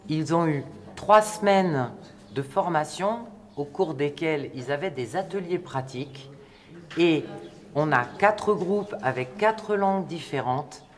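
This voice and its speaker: A person explaining a project in an interview, in a measured and explanatory way. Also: medium pitch.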